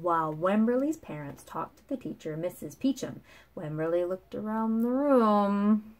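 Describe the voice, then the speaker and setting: Expressive woman reading a children's story. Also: medium pitch, moderate pace. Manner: expressive, dramatic phrasing for children. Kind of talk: read-aloud picture book storytelling. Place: small room at home, indoors.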